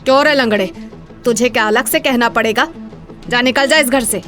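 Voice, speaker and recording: Lady doing a spiteful bossy mother-in-law in a cartoon tale, highish voice, sneery, faint music behind. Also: normal speed, a bit bright, close to an everyday voice. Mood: scornful. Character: cruel, spiteful stepmother and mother-in-law, scornful schemer. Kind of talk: animated Hindi moral story voice acting.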